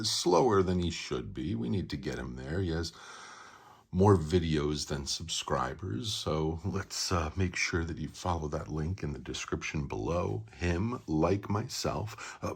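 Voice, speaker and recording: A middle-aged man with a gravelly, husky voice rambles casually and haltingly as he hosts a show-and-tell vlog, letting phrases trail off. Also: very deep voice. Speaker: YouTube channel host showing a card collection.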